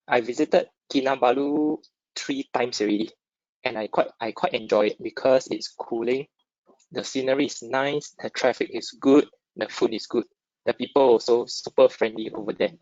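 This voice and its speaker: A man's voice: medium pitch, a little mellow, dry recording. Singaporean man presenting, muffled online audio. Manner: conversational, checks in with listeners using short tag questions. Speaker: webinar speaker, stock dealer. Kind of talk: informal interactive webinar presentation. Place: dry close online webinar. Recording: dull lo-fi online call audio.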